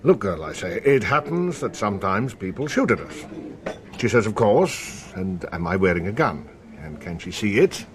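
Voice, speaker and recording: An actor playing a wry, world-weary French police inspector in a naturalistic radio drama, sardonic and colloquial. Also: male voice, lowish voice, big pitch swings, moderate pace. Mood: wry recounting.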